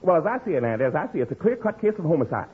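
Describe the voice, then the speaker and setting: An actor in an old radio sitcom playing an excitable, cocksure, foolish lawyer who repeats his phrases. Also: a little high, rapid-fire, husky, full character voice. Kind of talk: 1950s radio sitcom acting. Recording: muffled old-time radio recording.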